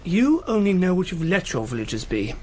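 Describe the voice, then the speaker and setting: An actor playing an earnest, argumentative young socialist intellectual, articulate and declamatory, in a period radio drama. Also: male voice, high voice, sing-song, brisk, background noise. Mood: earnest, indignant. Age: young adult.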